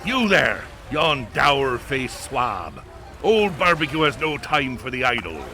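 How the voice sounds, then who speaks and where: A man's voice: medium pitch, sing-song, takes it slowly. A man playing a roguish, theatrical old pirate captain in a growling pirate dialect. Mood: blustering. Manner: growling pirate bluster, rolling "arr". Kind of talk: audio drama acting.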